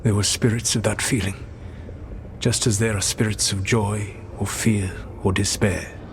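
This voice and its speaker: An ancient elven god from a fantasy game. He is lowish in pitch, solemn and formal, a calculating mentor weighing his words over an audible ambient noise. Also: fairly even intonation, a little slow. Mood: sorrowful. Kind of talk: fantasy game dramatic dialogue.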